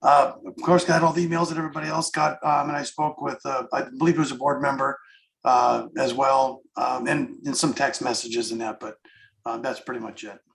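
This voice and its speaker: A man serving as a city councilmember, hoarse and losing his voice, speaking haltingly with fillers. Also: mid-range voice, flat delivery, roomy sound, low-quality recording.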